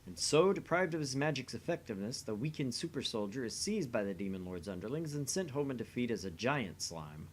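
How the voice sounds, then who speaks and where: A man's voice: medium pitch, lively intonation, brisk, hardly any pauses, a little hiss or hum. A man doing an acted reading of dialogue and narration from a visual novel game. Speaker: voice reader in a visual novel playthrough.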